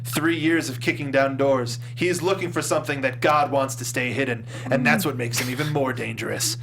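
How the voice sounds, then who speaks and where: A man's voice: mid-range voice, steady tone, a little fast, creaky, fairly bright tone, faint music behind. A man hosting a movie review show, chatting casually with his fellow panelists.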